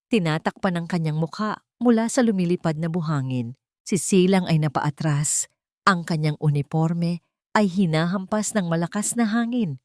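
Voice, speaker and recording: A woman's voice: lowish voice, darkish tone, dry recording, clean studio sound. A Filipino woman narrating a scripted story in a dramatic storytelling style.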